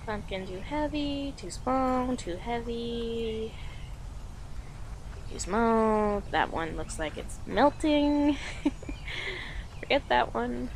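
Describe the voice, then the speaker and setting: A woman playing an affectionate, playful girlfriend in an audio roleplay, talking softly and giggly to the listener with lingering pauses. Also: a little high, animated, a little hiss or hum, full character voice. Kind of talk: audio roleplay, intimate slow-paced monologue to listener.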